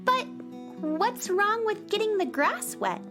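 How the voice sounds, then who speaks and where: A curious little girl in a children's radio play, very high and bouncing all over in pitch. She sounds eager and wide-eyed, with guitar music playing underneath. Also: very animated, slow pace, exaggerated voice. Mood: timid.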